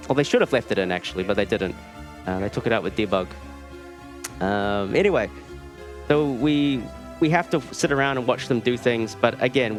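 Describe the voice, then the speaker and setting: New Zealand man commentating over game music. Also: mid-range voice. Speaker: speedrunner presenting a live run. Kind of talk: casual live gameplay commentary. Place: live speedrunning marathon event.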